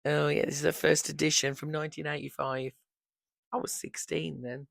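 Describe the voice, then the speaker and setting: A woman in her fifties, hoarse from losing her voice, chatting casually and rambling about books on her vlog into a microphone on a holder. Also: low voice, little pitch movement, normal speed, no room sound, clean studio sound. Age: 50s. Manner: chatty, rambling, warm fond asides. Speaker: book vlogger.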